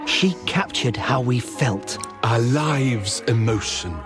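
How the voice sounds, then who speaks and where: A man's voice: medium pitch, slow pace, close to an everyday voice. A man playing an earnest, reverent fan who helps tell the story in a radio play. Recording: music behind the voice.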